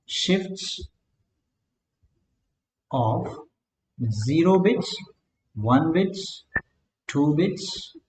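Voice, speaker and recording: A man lectures in a slow, broken-up way, pausing between short word groups. His voice sits a little high and rings in an echoey room with a faint hum behind it. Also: animated, a little mellow. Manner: slow, halting delivery in short word groups, frequent "right?" checks. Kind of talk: classroom lecture on circuit design.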